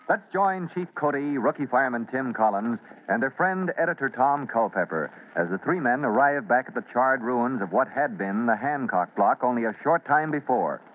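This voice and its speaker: A man's voice: mid-range voice, animated, moderate pace, hardly any pauses. A male announcer narrates in dramatic old-time radio style. His mid-range voice is emphatic and declamatory. The recording is tinny, with faint noise underneath.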